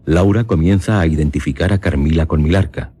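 A man's voice: deep voice, fairly quick, warm tone, breathy edge. A man reading a scripted story recap as a radio narrator.

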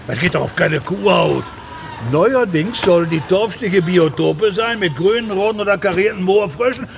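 A hot-tempered middle-aged pig farmer in a radio play, gruff and crude, with a high, gravelly voice. He rails with indignation over a noisy farmyard backdrop. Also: moderate pace. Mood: indignant. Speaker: pig farmer suspected of a crime.

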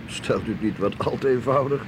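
A man's voice: mid-range voice, fairly quick, lightly acted. A man playing an affable, candid military captain and scientist in a radio drama, conversational and slightly hesitant. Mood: curious, wry. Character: affable male navy or army captain, modest, candid scientist. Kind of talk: Dutch radio drama acting. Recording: faint vehicle noise behind voice.

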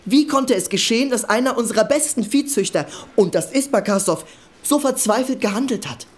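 A woman playing an earnest party comrade in a radio drama, arguing insistently and a little haltingly for fairness. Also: fairly high pitch, lively intonation, natural voice. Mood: earnest, pleading. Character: earnest young party comrade, shepherd's child, pleading for fairness. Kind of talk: German radio drama acting.

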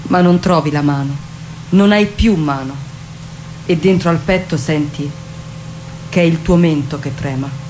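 A woman's voice: sits low, measured pace, pauses often, darkish tone, slight background noise, rough recording. A woman delivering a poetic dramatic monologue.

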